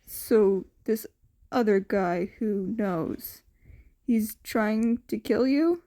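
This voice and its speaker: A woman's voice: medium pitch, very slow, dark tone. Woman playing an awkward, kind teen boy. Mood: thoughtful. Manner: casual, understated, slightly awkward. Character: awkward, kind, low-key teenage boy, protective friend.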